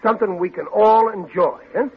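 A man's voice: high-pitched, a little fast, breathy edge. An actor playing a stubborn, blustering middle-aged family father in a vintage radio sitcom, folksy and ungrammatical. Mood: blustering, eager. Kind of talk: 1940s radio sitcom acting. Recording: dull old radio recording.

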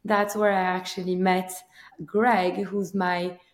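A woman's voice: on the low side, little pitch movement, slow. A woman from Belgium with a Belgian accent, telling her story conversationally as an interview guest. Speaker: guest, company founder. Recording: roomy, echoing sound.

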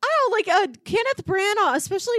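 A woman's voice: very high voice, quick pace, a bit bright, no room sound, studio quality. A woman co-hosting a casual film discussion in loose, conversational talk. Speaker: podcast co-host.

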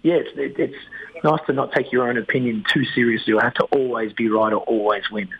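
A man's voice: mid-range voice, fairly even intonation, fast, muffled recording, over a noisy crowd. A man with an Australian accent, a band's lead singer, chatting casually and joking in a radio interview. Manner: laid-back, joking, says "mate". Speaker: band lead singer, guest.

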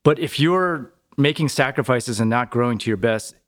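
A man talking as a podcast guest in a relaxed, chatty way, often tagging his points with a quick question. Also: mid-range voice. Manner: relaxed, chatty, tags sentences with "right?". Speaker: podcast guest, business owner. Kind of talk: casual conversational interview.

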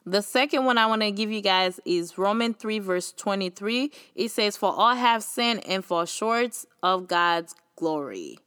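A woman's voice: mid-range voice, lively intonation, a little slow, few pauses, fairly bright tone, smooth, no room sound, studio quality. A young woman with a Haitian accent hosting a faith podcast, talking casually and earnestly, encouraging listeners with many fillers. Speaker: podcast host.

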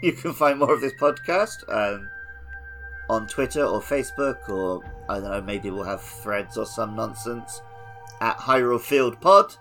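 A man's voice: medium pitch, lively intonation, measured pace, a bit bright, faint music behind. A young man co-hosting a casual gaming podcast, chatty and jokingly scolding his listeners. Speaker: podcast co-host.

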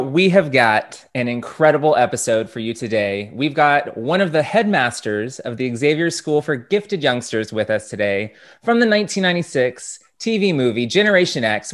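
A man's voice: a little high, a bit bright. A man co-hosting a podcast, introducing the guest in an upbeat, friendly way. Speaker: podcast co-host.

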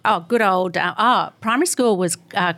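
A woman's voice: medium pitch, moderate pace, a bit bright. A middle-aged Australian woman, a guest in a casual interview, friendly and chatty with frequent laughs. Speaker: guest, dietitian and researcher.

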